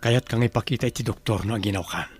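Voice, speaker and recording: A man's voice: lowish voice, quick pace, faint music behind, natural voice. A man playing a stern, bossy mansion master in an Ilocano comedy radio drama, commanding and scolding with an Ilocano accent. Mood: stern, probing.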